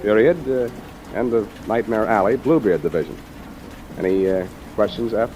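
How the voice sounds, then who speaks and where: A man's voice: lowish voice, moderate pace, noisy background, lightly acted. A man as a sardonic 1940s radio private eye. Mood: dry narration. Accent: American. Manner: deadpan, sardonic. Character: hard-boiled wisecracking male private detective. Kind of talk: 1940s noir radio acting. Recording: muffled old radio recording, music behind.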